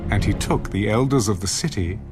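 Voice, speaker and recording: A man giving solemn, measured narration of scripture. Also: on the low side. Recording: loud background music.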